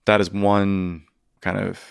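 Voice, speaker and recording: A man with a lowish, resonant voice speaks as a historian guest on a podcast. He answers thoughtfully, hedging and restarting often, recorded close and dry in a studio. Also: level tone, slow pace, gravelly, clear, resonant tone, no room sound. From United States. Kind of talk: podcast interview.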